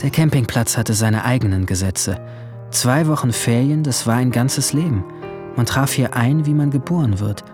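A withdrawn seventeen-year-old boy acted by a man tells his story in a radio drama with clear music behind him. His voice sits at a middle male pitch, and he is introspective, brooding and short with words. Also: normal speed, natural voice. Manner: introspective, terse, awkward in dialogue. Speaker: narrator and main character. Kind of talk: first-person radio drama narration.

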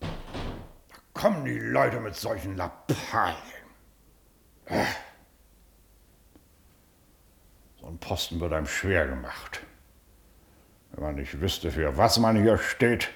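An actor playing a pompous, bullying village magistrate who lectures and shouts at people. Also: male voice, sits low, expressive, measured pace, gravelly, heavily acted. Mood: self-pitying. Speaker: official chairing a hearing.

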